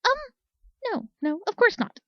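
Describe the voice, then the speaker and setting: A bookish young unicorn mare played by a woman reader from a storybook, shy but excitable. She stammers and gets flustered easily, in a dry, slightly dull recording. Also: very high voice, measured pace, no room sound, pitched above the performer's own voice, full character voice.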